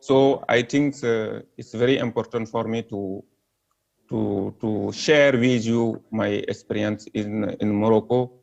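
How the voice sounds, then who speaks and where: Senegalese man, hesitant panel talk, gravel in the voice. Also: on the low side, fairly even intonation, measured pace, darkish tone. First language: French. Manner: hesitant, many fillers and restarts; earnest, apologetic about his English. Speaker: panel speaker. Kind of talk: halting live-stream talk. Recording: dull lo-fi stream audio.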